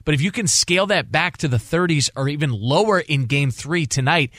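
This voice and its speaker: A man's voice: fairly high pitch, a little fast, a bit bright, dry recording, clean studio sound. An American man co-hosting sports radio, talking through analysis in a conversational, wordy, explanatory way.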